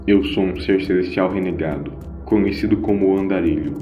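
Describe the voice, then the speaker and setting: Grandiose celestial renegade for audio drama, man acting, dark declamatory delivery, lowish, boxy echoey sound. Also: fairly quick, highs cut off, natural voice. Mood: grandiose, zealous. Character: the Wanderer, renegade celestial being, grandiose would-be utopian.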